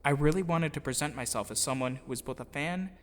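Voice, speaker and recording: A man's voice: fairly high pitch, fast talker. A man telling a personal story as scripted first-person narration, in an earnest, reflective way. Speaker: audio drama producer and voice actor. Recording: music playing behind the voice. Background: music.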